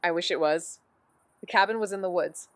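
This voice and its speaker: An actress plays a cocky young woman sleuth in a mystery audio drama. She has a clear, smooth voice in a close, dry recording. She is playful and glib, rambling on as she overexplains. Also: lowish voice, brisk, dry recording, natural voice. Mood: uneasy. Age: 20s. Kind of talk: naturalistic audio drama banter.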